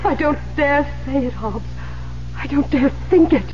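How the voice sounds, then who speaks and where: An actress playing a horrified, distraught lady with dramatic, halting delivery in a 1970s radio drama. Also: high-pitched. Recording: muffled old radio, faint hum.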